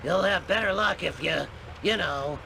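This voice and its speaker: Jaded cartoon clown: heavily gravelled, high, forceful voice, weary grumble, faint noise behind. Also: male voice, moderate pace, exaggerated voice. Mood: jaded, grumbling. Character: jaded, seedy, worn-out male TV clown.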